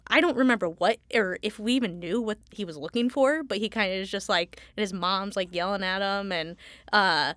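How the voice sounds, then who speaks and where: A woman speaking as a guest in a casual interview, informal and halting as she thinks aloud. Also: medium pitch, fairly bright tone, no echo, studio quality. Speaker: Foley artist, interview guest.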